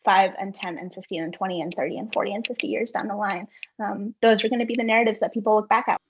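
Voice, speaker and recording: Husky woman reflecting aloud. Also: medium pitch, fairly even intonation, lo-fi sound. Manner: earnest, halting, repeats words as she reasons. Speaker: guest author, poet and essayist. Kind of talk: thoughtful interview answer.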